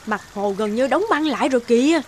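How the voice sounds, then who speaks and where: A woman's voice: fairly high pitch, animated, fairly quick, darkish tone, a little hiss or hum, close to an everyday voice. A woman voicing a worried, blunt village onlooker in a folktale cartoon, exclaiming and pleading. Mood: alarmed. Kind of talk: dubbed folktale cartoon acting.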